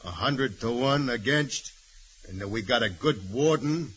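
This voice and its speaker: A sardonic, sly convict in an old radio drama. He drawls slowly in a sharp, medium-pitched voice. The recording is muffled and faintly noisy. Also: expressive. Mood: mocking, sly. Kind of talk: 1940s radio drama acting.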